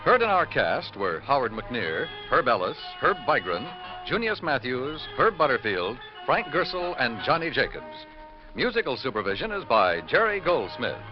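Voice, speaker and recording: A man's voice: medium pitch, big pitch swings, normal speed, music in the background. A man announcing in a formal, polished, emphatic 1950s radio style. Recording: muffled old radio recording.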